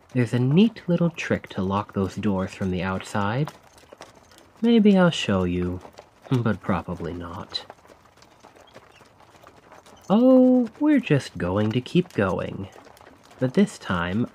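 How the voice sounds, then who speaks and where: A man's voice: low voice, a little mellow, slight background noise, full character voice. A man playing a vengeful, sardonic carriage driver in an ASMR audio drama, speaking in a conspiratorial, taunting way. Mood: cold, menacing. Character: vengeful male carriage driver, sly, mocking, coldly controlled.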